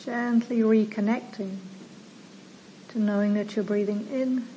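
A woman meditation teacher leading a guided meditation in a gentle, soothing manner, with long pauses between slow phrases. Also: mid-range voice, steady tone, dull audio. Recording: clear background noise.

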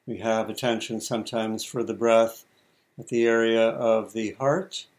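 Man guiding meditation in measured phrases. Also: fairly low pitch, steady tone. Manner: measured, halting delivery with deliberate pauses between short phrases. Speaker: meditation teacher. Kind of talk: guided meditation instruction.

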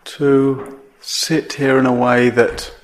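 Male meditation teacher, slow pace, measured phrasing, long pauses, guided practice. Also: medium pitch, little pitch movement, slight background noise.

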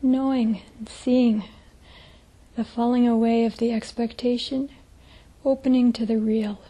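A woman leading a guided meditation as a teacher, speaking gently in a soft, breathy, hushed voice with long pauses. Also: on the high side, steady tone, poor audio.

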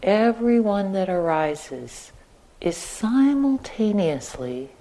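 A woman teaching guided meditation, speaking gently and leaving long pauses between short phrases. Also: mid-range voice, expressive. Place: meditation retreat center.

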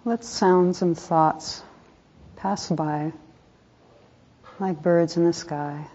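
A woman meditation teacher leading a guided meditation in a soft, calm voice, unhurried, with pauses between short phrases. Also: lowish voice. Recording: dull, muffled lo-fi recording.

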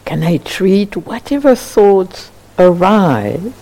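A woman meditation teacher leading a guided meditation in sparse phrases with long pauses between them. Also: mid-range voice, sing-song, warm tone, poor audio.

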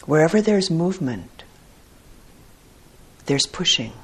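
Husky woman slowly guiding a meditation. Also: low voice, big pitch swings. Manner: measured, deliberate, long pauses. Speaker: meditation teacher. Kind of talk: guided meditation instruction.

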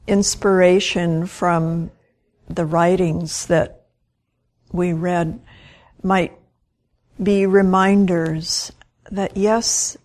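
A woman leading a guided meditation as a teacher, gentle and unhurried, leaving long pauses between short phrases. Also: lowish voice.

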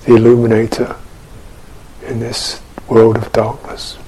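A man giving a contemplative guided meditation as a Buddhist teacher, speaking in measured phrases with long pauses. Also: mid-range voice, monotone, warm tone, a little hiss or hum, poor audio. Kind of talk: guided meditation and dharma talk.

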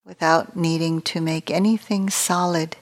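A woman meditation teacher speaks softly in a slightly low register. Her delivery is very slow and nearly flat in pitch, with long pauses. Phrases often trail off into a gentle, breathy softness as she guides the practice.

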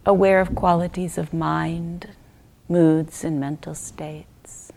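A woman guides a meditation in a slightly low voice, thick with creak. She speaks very slowly and gently, in short phrases with long pauses. The ends of her phrases soften toward a breathy near-whisper. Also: level tone, poor audio. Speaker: meditation teacher.

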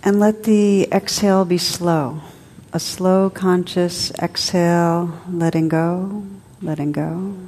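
A woman leading a guided meditation as a teacher, soothing and unhurried, speaking in short phrases with long pauses. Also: lowish voice, fairly even intonation, darkish tone, a little hiss or hum. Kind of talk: guided meditation, spoken to a group.

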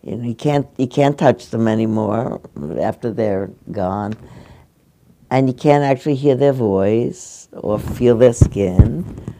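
An older woman giving a meditation talk, speaking gently and haltingly as she answers questions from her audience. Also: very deep voice. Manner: gentle, halting, restarts often. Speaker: meditation teacher. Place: meditation hall.

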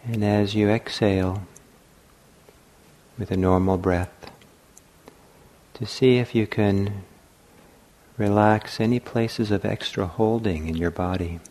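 A man leading a guided meditation as a teacher, speaking gently and unhurriedly in short phrases with long pauses. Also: fairly low pitch, steady tone.

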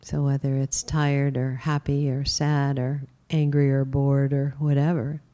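A woman teaching a guided meditation, speaking gently and unhurriedly with long pauses. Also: extremely low pitch, level tone.

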